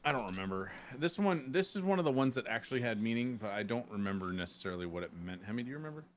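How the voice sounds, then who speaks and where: A man's voice: mid-range voice, lively intonation, rapid-fire. A man speaking as a podcast guest about game roleplay, casual, loose and sweary. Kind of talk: casual chat. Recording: faint background noise.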